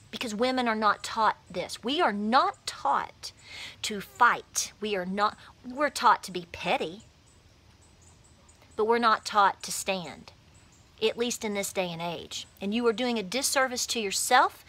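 A woman's voice: a little high, bright, forceful tone. A woman, talking emphatically and animatedly to a phone camera outdoors on her porch as a homesteading vlogger. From Appalachia, USA. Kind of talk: passionate straight-to-camera talk. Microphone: phone camera at arm's length.

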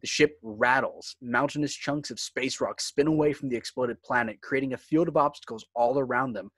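A man's voice: medium pitch, moderate pace, a bit bright, no room sound. A man reading screenplay stage directions as dramatic scripted narration.

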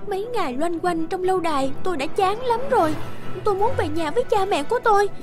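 High-voiced, plaintive young captive girl. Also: fast, over music. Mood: pleading. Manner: plaintive, emotional. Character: distressed, pleading young captive girl, remorseful daughter. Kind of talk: animated fairy tale dub.

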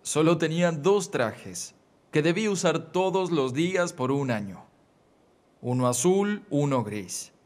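A man's voice: on the high side, expressive, measured pace, a little mellow. Earnest middle-aged man, motivational voice-over. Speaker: motivational speaker.

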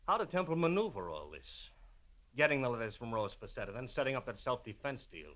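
Animated, skeptical police lieutenant. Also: male voice, medium pitch, a little fast. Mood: weary, businesslike. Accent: American. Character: skeptical, impatient male police detective lieutenant. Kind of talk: 1950s radio drama acting.

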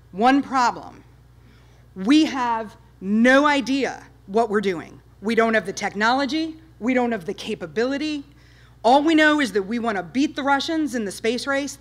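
A woman in her fifties giving an enthusiastic public talk with slides, loud and emphatic, firing rhetorical questions at the audience. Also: a little high. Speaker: guest speaker, space history presenter. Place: dark lecture hall with projector screen.

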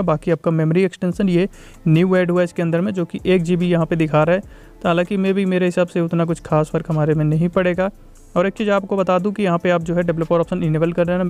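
A young man giving an informal, explanatory voice-over for a phone software review, often repeating his points. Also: on the high side, clear, resonant tone. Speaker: tech reviewer.

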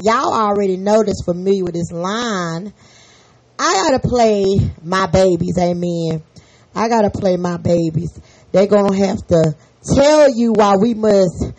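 A hoarse woman hosting a faith podcast, leading a fervent spoken prayer and an encouraging sermon full of repeated amens. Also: medium pitch, a little mellow, rough recording. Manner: fervent, repetitive, peppered with amen. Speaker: podcast host and prayer leader.

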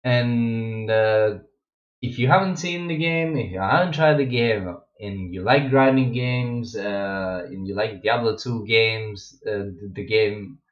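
A young Russian-born man with a Russian accent, chatting casually as a streamer in his gaming room, rambling with many fillers and restarts. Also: fairly low pitch. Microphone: wireless headset. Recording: echoey, dull lo-fi home mic.

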